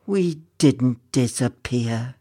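A storyteller's mournful, rumbling, slow-spoken dragon. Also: lively intonation, warm tone, dry recording, studio quality. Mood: mournful, weary. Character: huge sorrowful dragon, gruff, self-pitying, kindly at heart.

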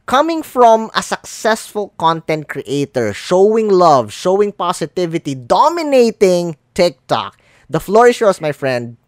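A man's voice: high voice, very animated, a little slow, dry recording, clean studio sound. A man hosting a podcast, a Filipino speaker of English as a second language, talking earnestly and encouragingly about love and positivity. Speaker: podcast host. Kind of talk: casual podcast interview.

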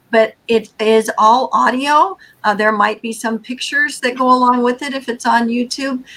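A middle-aged woman hosts a podcast in an earnest, upbeat way. Her pitch sits in the middle, and she pauses with an uh or um as she talks. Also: creaky, fairly bright tone, rough recording. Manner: earnest, enthusiastic, with fillers. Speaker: podcast host. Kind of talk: casual podcast chat.

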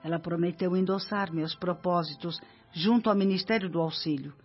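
An actress playing a devoted, humble spirit nurse in a Brazilian radio drama, speaking in an earnest, confiding way. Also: female voice, lowish voice, normal speed, breathy edge. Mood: confiding, wistful. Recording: dull lo-fi radio recording, music behind.

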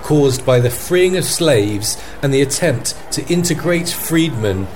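A man's voice: medium pitch, expressive, measured pace, darkish tone, faint music behind. A man acting as a field correspondent, giving a scripted news report in an earnest, formal manner. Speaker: podcast host as field correspondent. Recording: faint background noise and ambience.

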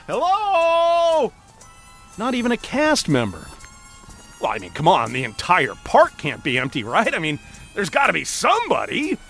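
An excitable, mild-mannered everyman, acted by a man, talks to himself in an audio drama. The voice is high and very animated, theatrical and chatty, with faint music behind it. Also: measured pace, vocal fry, exaggerated voice. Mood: puzzled, uneasy. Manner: theatrical, animated, self-talking. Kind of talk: audio drama monologue, thinking aloud.

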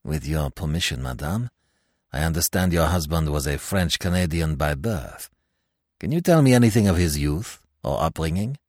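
A courteous old magistrate with a deep, hushed, formal delivery, as a narrator drops his voice for him. He sounds polite and measured, recorded dry and close. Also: expressive, no room sound. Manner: low, quiet, formal politeness.

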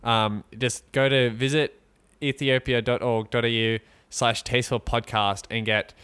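A man co-hosting a podcast in casual chat, rambling and joking with halting restarts. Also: mid-range voice, fairly even intonation, a bit bright, smooth. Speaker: podcast co-host. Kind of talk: casual chat, mock sponsor read.

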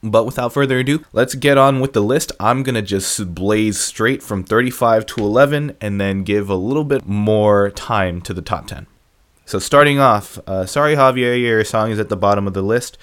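A young man with a somewhat low voice talks through a long countdown in a loose, offhand way. He pokes fun at himself as he goes and rattles through the entries one after another. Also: moderate pace, fairly bright tone. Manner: offhand, self-deprecating, rattles through a list. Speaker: music reviewer ranking viewer song picks. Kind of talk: casual vlog countdown.

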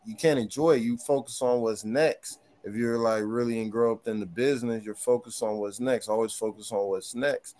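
A man talks in a casual podcast conversation, his voice thin and of middling pitch. He rambles, circling back and restarting his thoughts as he goes. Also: darkish tone. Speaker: guest hip hop artist.

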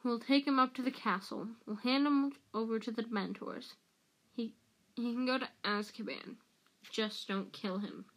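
A woman voicing a distressed but defiant teenage boy in an audiobook, breathless and halting yet insistent. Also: on the high side, steady tone, fairly bright tone. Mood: urgent, pleading.

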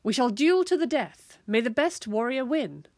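A woman's voice: fairly high pitch, lively intonation, normal speed, a little mellow, no echo, voice pushed up. Feisty young girl for a children's story, proud and mock-heroic, read by a woman, dry close recording. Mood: defiant, proud. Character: feisty little girl, defiant self-styled warrior princess.

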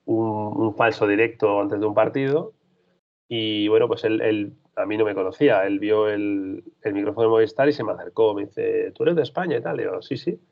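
A freelance basketball reporter answers interview questions in a low, gravelly voice. He talks thoughtfully, rambles, and restarts often. The recording sounds dull and lo-fi. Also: fairly quick.

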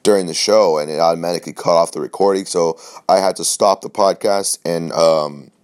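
Very low-voiced man with a scratchy sore throat. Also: fairly even intonation, a little fast, darkish tone, clean tone. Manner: rambling, loose and profane, with many fillers and restarts. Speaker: podcast host. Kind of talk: casual solo podcast chat.

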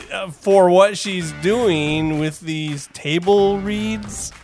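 A man's voice: high voice, very slow, darkish tone, faint music behind. A man hosting a casual interview, playful and self-deprecating, joking as he asks questions. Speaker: podcast host.

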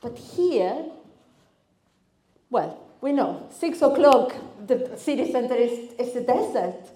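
A woman from Argentina with an Argentine Spanish accent and Spanish as her first language, preaching as a guest speaker in a church, expressive and lively as she tells stories. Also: on the high side, slow. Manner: expressive, lively storytelling with vivid lists. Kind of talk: sermon. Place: church hall. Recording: echoey church hall.

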